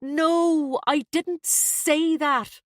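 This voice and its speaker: An exasperated young man arguing as someone's son, played by a woman narrator. His delivery is sharp, weary and emphatic, lifted in pitch, in a dry storybook recording. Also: steady tone, very slow, no echo.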